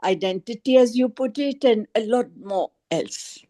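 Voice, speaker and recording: A woman's voice: on the high side, animated, moderate pace, dark tone. A woman in an informal group discussion on a video call, speaking hesitantly and searching for her words as a participant. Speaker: book club participant.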